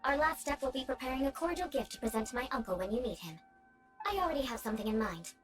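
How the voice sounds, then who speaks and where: A young noblewoman knight for a video game, high-voiced and very animated in her intonation. She is formal, composed and haughty, instructing with a teasing, vengeful streak, over game music. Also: fast. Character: poised aristocratic young woman knight, strict, teasingly vengeful.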